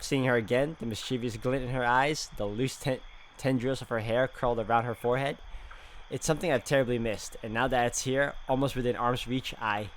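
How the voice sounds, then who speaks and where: A man's voice: mid-range voice, fairly bright tone, a little hiss or hum. A man playing through a visual novel, reading its narration aloud dramatically and adding casual asides. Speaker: game walkthrough player.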